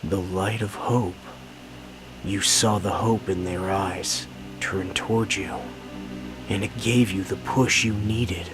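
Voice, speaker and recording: An earnest young fantasy game hero. His voice is fairly low and plain-spoken, a little conflicted, with music clearly behind it. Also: fairly even intonation, slow pace. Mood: reflective. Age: young adult. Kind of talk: video game cutscene acting.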